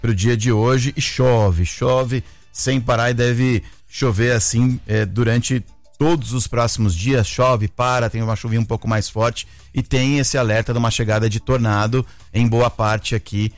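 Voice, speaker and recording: A man's voice: medium pitch, fairly even intonation, unhurried, no room sound, faint music behind. A man with a Brazilian Portuguese accent anchoring live radio news in a casual, slightly hesitant manner.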